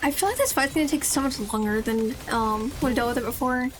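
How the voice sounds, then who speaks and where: A woman's voice: high-pitched, fast, faint music behind. A woman streaming a video game, chatting casually in a rambling, playful, self-deprecating way.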